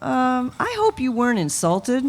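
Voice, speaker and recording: Woman playing lively, chatty office receptionist. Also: sits high, normal speed. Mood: chatty, helpful. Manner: chatty, matter-of-fact. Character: chatty, naive female receptionist at talent agency. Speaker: radio play actor. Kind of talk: 1950s radio drama acting. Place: live radio play performance at an event.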